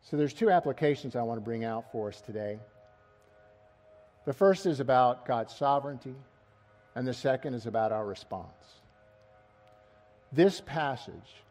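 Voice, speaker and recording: A man's voice: mid-range voice, darkish tone. A middle-aged man preaching an expository sermon, asking his listeners rhetorical questions and pressing key words with rising emphasis.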